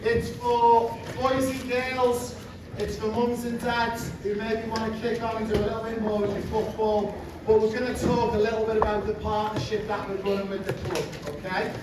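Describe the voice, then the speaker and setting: A man's voice: high-pitched, little pitch movement, moderate pace. A man from England with a Liverpool accent, giving a chatty, direct live presentation to parents and children in a large room. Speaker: presenter, program founder. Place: large indoor room with audience. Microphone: far room mic. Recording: echoey room, distant mic, background noise.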